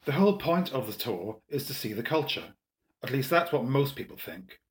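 A man voicing a nervous, earnest young gentleman in an audiobook, with an eager, questioning manner. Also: mid-range voice, very animated, normal speed, close to an everyday voice. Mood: urgent, earnest. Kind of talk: audiobook character dialogue.

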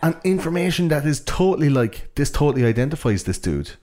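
A man's voice: on the high side, sing-song, fast, darkish tone. A young man with an Irish accent, chatting casually and explaining things in an enthusiastic, rambling, sweary way.

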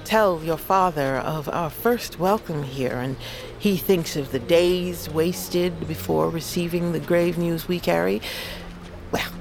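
An actress playing an old healer witch and grandmother in a fantasy audio drama, wry and wise, speaking in measured, cryptic storytelling phrases. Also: lowish voice, gravelly, noisy background. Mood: firm, dignified. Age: older. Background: music behind the voice.

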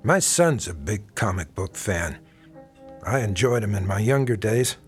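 A man's voice: fairly low pitch, lively intonation, measured pace. Middle-aged male detective: wry, probing, folksy, very varied intonation, radio drama with music underneath. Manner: folksy, probing, casually insinuating.

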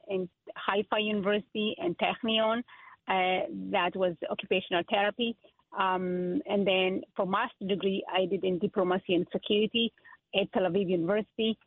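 A woman in her thirties, born in Ethiopia and raised in Israel, answering interview questions as a political candidate, with halting speech full of fillers and restarts. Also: mid-range voice, no echo. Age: 30s. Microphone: telephone. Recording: tinny phone line.

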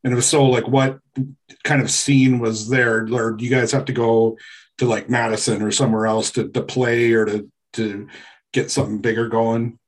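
Male co-host, mid-pitched, casual, halting long questions. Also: flat delivery, low-quality recording. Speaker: podcast co-host. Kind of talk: casual interview chat.

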